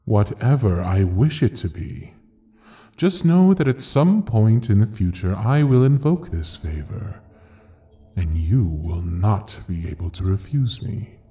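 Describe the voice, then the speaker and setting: Man playing a deep, slow, suave devil. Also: sing-song. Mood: sly, insinuating. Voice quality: low, dark, velvety. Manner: slow, courtly, deliberate, teasing. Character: suave, menacing male devil ruling a frozen hell. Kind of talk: ASMR audio roleplay acting.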